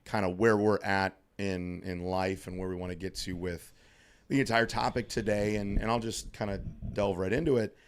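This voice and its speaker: A man in his thirties co-hosts a relaxed podcast, talking into a studio mic. His voice sits low and carries heavy fry, roughened further by allergies into a scratchy hoarseness. He keeps things upbeat and jokey, rambling along with plenty of ums. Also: moderate pace. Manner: upbeat, joking, rambling with fillers. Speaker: podcast co-host. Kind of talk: casual podcast chat. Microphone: studio microphone.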